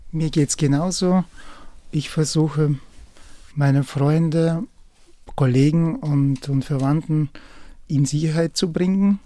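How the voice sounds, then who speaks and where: Man, mellow voice. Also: fairly high pitch, steady tone, slow pace, pauses often, dry recording. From southern Ukraine. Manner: warm-hearted, slightly broken phrasing. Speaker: guest. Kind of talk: radio interview.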